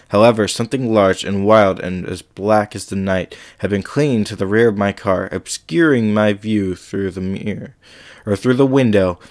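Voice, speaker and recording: A male podcast host with a slight cold, chatting casually with frequent ums and then reading a scary story aloud. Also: fairly low pitch.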